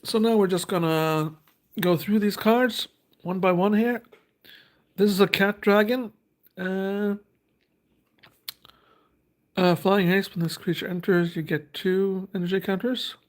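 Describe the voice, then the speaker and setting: A man's voice: sits high, steady tone, unhurried, long pauses. A man, hosting a casual unboxing and reading card text aloud in a hesitant, thinking-aloud way. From Norway. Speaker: host.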